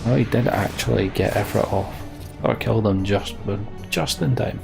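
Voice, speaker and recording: A man's voice: lowish voice, normal speed, creaky, over music. A man giving casual live commentary as he streams a video game.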